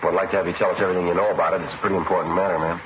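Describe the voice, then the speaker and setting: An actor playing a businesslike police sergeant, clipped and matter-of-fact, in a 1950s radio police drama. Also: male voice, deep voice, level tone, racing, background noise, close to an everyday voice. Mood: polite, probing. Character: businesslike male police sergeant narrating his case. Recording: muffled vintage radio recording, loud music.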